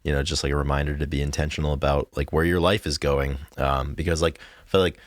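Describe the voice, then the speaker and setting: Very deep-voiced man reflecting aloud. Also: level tone, dry recording. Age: 20s. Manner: reflective, measured, thinks aloud. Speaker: podcast co-host. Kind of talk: casual chat.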